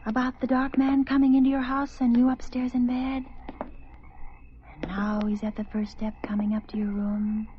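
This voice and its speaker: An actress playing an anxious woman walking alone at night in a vintage radio suspense play, talking to herself in halting, breathy, often whispered phrases. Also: a little high, level tone, a little hiss or hum, close to an everyday voice. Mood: creeping dread. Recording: muffled old radio recording with music.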